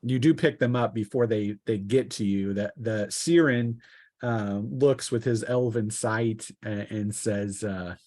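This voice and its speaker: A man narrating a tabletop role-playing game as game master, in a halting, hesitant delivery with improvised phrasing. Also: fairly low pitch, darkish tone, no echo.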